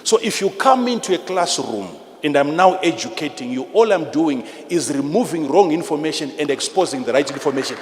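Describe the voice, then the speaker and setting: A man with a Zimbabwean accent preaching a sermon in an emphatic, repetitive, teacherly manner, swinging between hushed and loud delivery. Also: on the high side, lively intonation, fairly quick, hardly any pauses. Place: large church hall with PA. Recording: live in a large reverberant hall, noisy.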